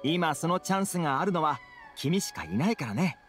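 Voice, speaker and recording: A man's voice: a little high, animated, a little fast, close to an everyday voice. An actor playing a friendly, easygoing young man in a Japanese fantasy anime game. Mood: relaxed, playful. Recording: background music behind dialogue.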